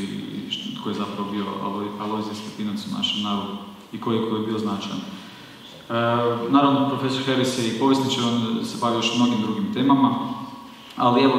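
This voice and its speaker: A fairly low-voiced man introduces the next speaker, halting and restarting his sentences as he goes. He is heard from a distance in a large, echoing hall with long reverb and faint hiss. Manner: hesitant, with many restarts. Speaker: event host.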